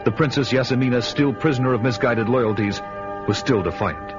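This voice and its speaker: An actor playing a grim, vengeful barbarian warrior who tells his saga in solemn, deliberate dramatic style. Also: mid-range voice, close to an everyday voice. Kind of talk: dramatic first-person radio narration and acting. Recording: dull lo-fi radio recording, loud music behind.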